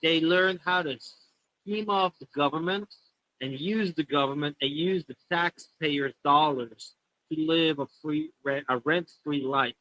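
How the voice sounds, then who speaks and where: American man, brash stream guest, sharp bright voice, slightly high. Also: measured pace, no room sound, low-quality recording. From United States. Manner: blunt, profane, opinionated. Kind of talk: casual live-stream chat.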